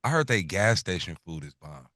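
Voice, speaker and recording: A man's voice: low voice, sing-song, normal speed, sharp tone, dry recording, clean studio sound. A man co-hosting a radio talk show, joking and teasing in casual banter.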